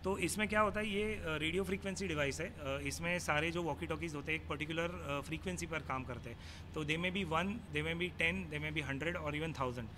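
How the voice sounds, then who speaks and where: A man with an Indian accent explains and demonstrates as a technical expert, mixing Hindi with English technical phrases. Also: a little high. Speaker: technical expert being interviewed. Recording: clearly noisy on-location ambience.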